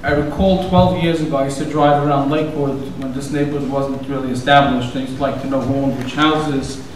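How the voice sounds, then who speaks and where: A man's voice: medium pitch, steady tone, brisk, a little hiss or hum. A man hosting the evening, giving a casual, rambling introduction and telling a story in a conversational way. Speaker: event organizer and host. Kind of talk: introductory speech. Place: synagogue hall. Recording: very echoey hall, distant mic.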